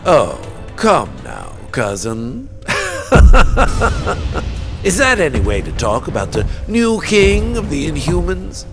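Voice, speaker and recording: A man's voice: on the high side, huge pitch swings, slow, pauses often, heavily acted. An actor playing a mad, smug usurper king in a video game, with a taunting, theatrical delivery. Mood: mocking, gloating. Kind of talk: video game cutscene acting. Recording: music underneath.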